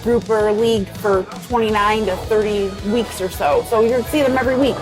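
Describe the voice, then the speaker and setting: Middle-aged woman chatting over loud music. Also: mid-range voice, fairly even intonation, moderate pace, poor audio. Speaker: interview guest, bowler. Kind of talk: casual chat. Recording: loud music playing behind. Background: music, in a bowling alley.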